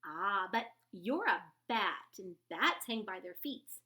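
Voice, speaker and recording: Brash bat stranger, storybook, played by a woman: loud, insistent, know-it-all, big pitch swings. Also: animated, takes it slowly. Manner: loud, emphatic. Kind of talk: children's storybook read-aloud.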